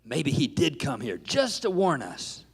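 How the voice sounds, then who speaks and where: A man playing an excitable, loyal ranch hand in a live Western radio play, with eager folksy talk in an echoey hall. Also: a little high, unhurried, creaky, breathy edge, full character voice. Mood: earnest. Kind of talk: live Old West radio play acting.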